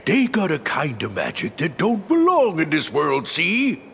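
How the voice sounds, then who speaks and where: Oafish video game villain, big cartoon cat, gruff rasp, blustering, slow folksy drawl, hugely animated. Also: male voice, fairly high pitch, a little hiss or hum, exaggerated voice. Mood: blustering warning. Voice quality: gravelly, gruff.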